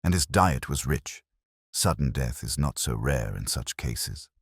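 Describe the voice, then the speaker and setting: Man reading as a guarded, deep-voiced Victorian lady. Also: animated, a little slow, slightly rough, dry recording, clean studio sound, heavily acted. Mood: defensive, composed. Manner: guarded, formal, evasive. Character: guarded, composed upper-class Victorian woman, the niece. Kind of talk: audiobook character dialogue.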